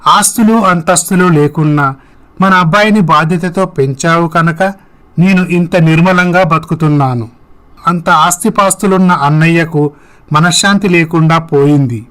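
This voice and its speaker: A man voicing a gentle, grateful husband and father in a scripted story, with a sincere manner. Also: on the high side, natural voice.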